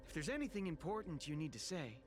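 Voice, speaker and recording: An earnest young apprentice priest in a video game. His delivery is deferential and very animated, with music playing behind it. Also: on the high side, fast talker. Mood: encouraging. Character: dutiful young male apprentice priest, earnest, impersonated by thief.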